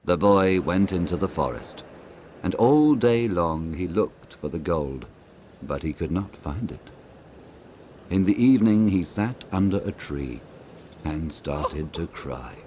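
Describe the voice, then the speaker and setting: A man narrating a scripted fairy tale in a dramatic, deliberate storytelling manner. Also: sits low, lively intonation, a little mellow, a little hiss or hum.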